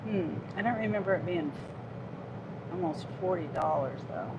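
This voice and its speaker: A woman's voice: fairly low pitch, lively intonation, normal speed, muffled recording. Chatty woman in her fifties, noisy car. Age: 50s. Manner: chatty and rambling, with frequent restarts. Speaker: gig delivery driver livestreaming. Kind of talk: casual live-stream chat reading viewer comments.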